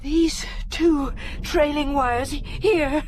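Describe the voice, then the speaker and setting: An actress playing a dying, defiant woman scientist in an audio drama, speaking haltingly and in anguish. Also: sits high, a little mellow, slight background noise. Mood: weak, defiant.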